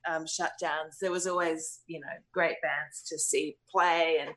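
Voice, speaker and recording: A musician in her thirties from New Zealand talks through her answers slowly and thoughtfully. She hesitates often and fills the gaps with ums and "you know". Her voice sits fairly low. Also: fairly even intonation, fairly bright tone, low-quality recording. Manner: thoughtful, hesitant, many fillers. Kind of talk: interview answers.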